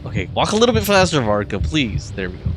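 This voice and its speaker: Animated man commenting on gameplay. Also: mid-range voice, quick pace, background noise. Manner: reactive, muttering interjections, slangy. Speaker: game streamer. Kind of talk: casual live gameplay commentary. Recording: game music behind the voice.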